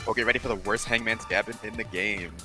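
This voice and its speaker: A man's voice: fairly low pitch, fairly even intonation, fast. A man chatting casually with gaming friends, explaining things insistently to the player. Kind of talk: casual group gaming chat. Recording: game music behind the voice.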